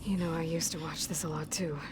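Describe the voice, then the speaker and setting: Actress playing a determined young hunter, lowish voice, direct, music behind. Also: female voice, fairly even intonation, a little fast, close to an everyday voice. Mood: probing. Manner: direct, probing, clipped. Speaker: game protagonist. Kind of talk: video game voice acting. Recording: game cutscene with music behind.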